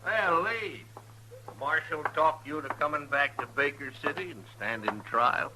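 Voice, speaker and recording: An actor playing a hostile, blustering deputy gunman with a rough rural Western American way of talking, in an old radio western. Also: on the high side, a little slow, close to an everyday voice. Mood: menacing. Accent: rural American West. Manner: blustering, threatening, rough-spoken. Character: hostile, swaggering deputy gunman, ready to kill. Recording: vintage radio with faint noise.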